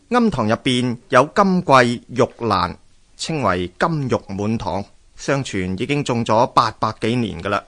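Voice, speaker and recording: A man with a Hong Kong Cantonese accent narrating a scripted radio story in a measured, expressive storytelling manner. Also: medium pitch.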